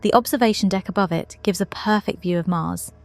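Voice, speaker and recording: A woman's voice: medium pitch, a little fast, gravelly, soft background music, lightly acted. Young female space officer, dutiful and modest, reflective log monologue for sci-fi audio drama played by a woman. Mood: focused.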